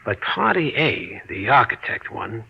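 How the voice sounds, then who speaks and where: A husky-voiced private detective from an old radio thriller. He reports plainly and a little slangily, obliging toward his client. The recording is dull and dated. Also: mid-range voice, big pitch swings, a little slow, full character voice. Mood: businesslike. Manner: matter-of-fact, informal but obliging. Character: businesslike, slightly slangy hired private detective. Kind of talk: 1940s radio acting.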